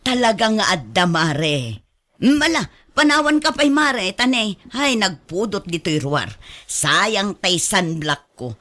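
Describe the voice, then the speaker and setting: Casting: snobbish neighbour lady for radio drama played by a woman, haughty, very lively swings in pitch. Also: slow pace, heavily acted. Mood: smug. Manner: haughty, showy. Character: boastful, condescending village neighbour and mother.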